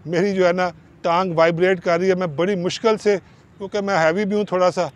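A man's voice: sits high, flat delivery, brisk. A middle-aged man, a cricket coach explaining a bowling balance drill step by step and counting the repetitions aloud, speaking outdoors on a lapel mic. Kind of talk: instructional coaching video.

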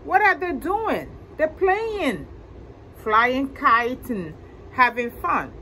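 A woman reads a children's story at an unhurried pace with expressive, deliberate phrasing. Her pitch sits high and swings widely as she addresses young listeners. A steady noise runs behind her. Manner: slow, deliberate, expressive, asking listeners questions. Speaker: library storytime host. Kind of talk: animated picture-book read-aloud to children. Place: indoor library room with bookshelves.

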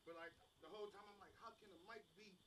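A man's voice: high-pitched, fairly even intonation, very fast, a bit bright, poor audio. A man with a strong voice calling out to the host, brash and playful in casual chat.

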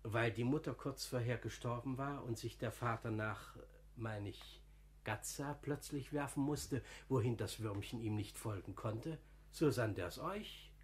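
A man plays a good-natured older lay brother in a classical radio play. He speaks at a medium male pitch in a homely, confiding way. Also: a little slow, lightly acted. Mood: earnest recounting. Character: humble, good-natured older friar, plain-spoken and confiding. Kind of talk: classical German radio drama acting.

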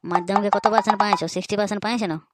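A performer voicing a brisk, businesslike village shopkeeper in a comedy cartoon, with a rural Purulia Bengali accent. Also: medium pitch, steady tone, noisy background, lightly acted. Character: brisk, matter-of-fact village online-centre shopkeeper.

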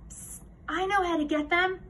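Woman as a smug, high-voiced schoolgirl know-it-all. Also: big pitch swings, normal speed, sharp tone, far from the mic, slight background noise, full character voice. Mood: confiding. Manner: teasing, confiding sales pitch.

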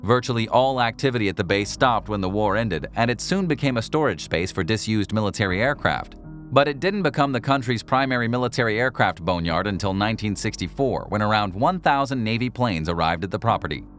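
A man reading a scripted documentary narration in an even, measured way. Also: on the low side. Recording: voice-over with faint music.

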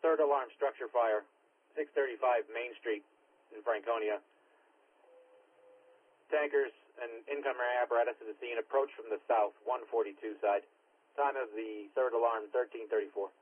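A man's voice: mid-range voice, fairly even intonation, normal speed, slightly rough. A man working as an emergency dispatcher, giving clipped, procedural calls over a two-way radio, repeating units and times. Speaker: fire and ambulance dispatcher. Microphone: dispatch radio microphone. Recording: narrow, tinny scanner radio audio.

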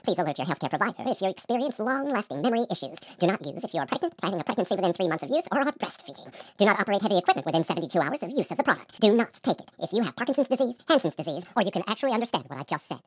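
A drug-ad disclaimer reader acted by a woman, racing along at extreme speed. Her lowish voice is dry and close, with lively pitch movement. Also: rapid-fire, hardly any pauses, slightly rough, no room sound. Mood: brisk, businesslike. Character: rapid-fire female drug advert disclaimer reader. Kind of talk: parody disclaimer.

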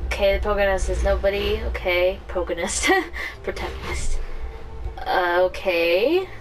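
A woman's voice: medium pitch, normal speed, soft background music. A woman streaming a game playthrough with casual commentary, hesitant and trailing off as she reads aloud. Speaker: gaming streamer. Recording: distant mic, faint background game ambience.

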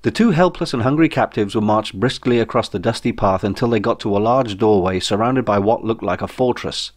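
A man with a fairly low voice narrates a comic science fiction novel. His delivery is wry and dry, as an author reading his own book. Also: a little fast.

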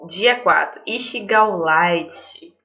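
A woman's voice: on the low side, very slow, sharp tone. A young woman with a Brazilian accent, reading a visual novel aloud and adding casual asides as a gaming host. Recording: dull lo-fi microphone, faint music. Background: faint game music.

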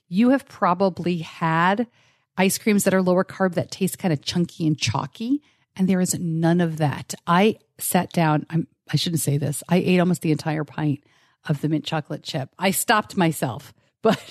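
A woman's voice: moderate pace, no echo. A woman hosting a podcast, reading a sponsor spot and interviewing a guest in an upbeat, laughing manner from a home studio microphone. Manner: upbeat, laughs often, animated pitch swings. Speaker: podcast host.

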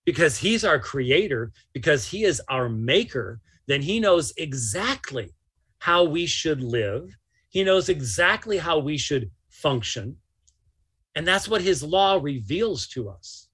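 A man's voice: a little high, very animated, takes it slowly, a bit bright, slightly rough. A man in his fifties giving live religious commentary, emphatic and incredulous, repeating phrases for stress. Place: small motorhome interior.

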